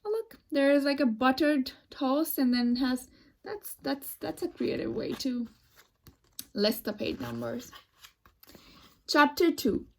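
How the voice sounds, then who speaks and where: A woman teaching young children through an expressive read-aloud, addressing them as friends and pausing to explain words and pictures. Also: high voice, gravelly, slight background noise.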